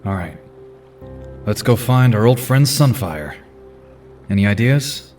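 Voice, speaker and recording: A man's voice: on the low side, lively intonation, slow, a little mellow, far from the mic, soft background music. A man playing an earnest, commanding superhero team leader in an audio drama, serious and authoritative.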